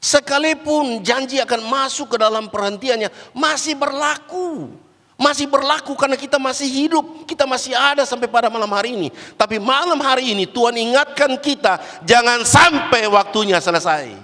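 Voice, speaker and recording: A man preaching a Pentecostal sermon while fighting a cold and cough, swinging between loud, raised exhortation and low, quiet asides. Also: moderate pace. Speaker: guest preacher. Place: large church hall. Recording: church hall with long reverb.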